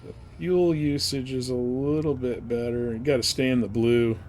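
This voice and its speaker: A man's voice: mid-range voice, fairly even intonation, normal speed, darkish tone, slight background noise. A man giving casual, slangy live commentary while he plays a game. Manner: informal slangy talk. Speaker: live streamer playing a space flight game.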